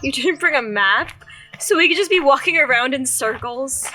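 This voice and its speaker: A woman's voice: sits high, lively intonation, normal speed, pushed and bright, soft background music. A woman playing a bold, talkative girlfriend in an audio drama, coy and teasing in manner. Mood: impatient.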